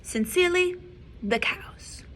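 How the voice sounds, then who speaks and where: A woman voicing a group of demanding cows reading their typed notes aloud, loud and emphatic. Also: very high-pitched, lively intonation, slow pace, slight background noise, pitched above the performer's own voice, full character voice.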